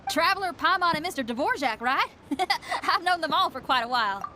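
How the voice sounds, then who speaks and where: A bold, friendly young rock-musician girl for a fantasy game. Her voice is high and bright, bouncing through wide swings with breezy confidence and energy. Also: expressive, brisk, a little hiss or hum. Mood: cheerful. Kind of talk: video game voice acting.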